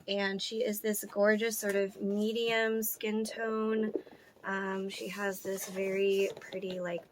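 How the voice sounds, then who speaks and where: A hoarse woman in her thirties talks casually through an unboxing. Her voice has a gravelly fry, and she fills her sentences with ums, likes and restarts. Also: mid-range voice, a bit bright, slight background noise. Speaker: doll collector vlogger.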